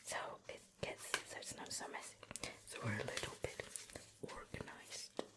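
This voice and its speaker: A woman doing an ASMR show and tell in a soft, breathy whisper close to the microphone, gently murmuring her commentary. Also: moderate pace. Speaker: ASMR video creator. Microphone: close microphone, ear-to-ear ASMR recording.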